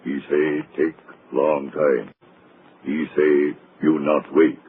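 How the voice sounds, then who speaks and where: An actor playing a slow-witted, obedient henchman who speaks halting broken English in an old-time radio thriller. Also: very deep voice, flat delivery, a little hiss or hum, full character voice. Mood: stolid. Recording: muffled, tinny vintage radio recording.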